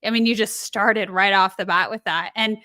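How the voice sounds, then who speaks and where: A woman hosting a podcast interview, affirming her guest with drawn-out phrasing. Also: medium pitch, steady tone, bright, forceful tone. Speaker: podcast host. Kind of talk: supportive interview chat.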